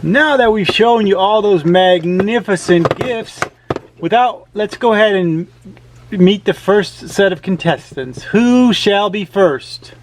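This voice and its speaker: A man's voice: sits high, animated, slow, slight background noise. A man playfully announcing a show as host, with a theatrical, grandiose manner. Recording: music behind the voice.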